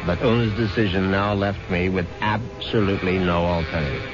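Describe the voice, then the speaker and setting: An actor playing a smooth, urbane husband in a 1970s radio drama, wryly plotting to kill his rich wife with mock regret. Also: low-pitched, fairly quick, close to an everyday voice. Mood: wry confiding. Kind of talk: 1970s radio drama acting with confessional narration. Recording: dull 1970s radio broadcast, loud music.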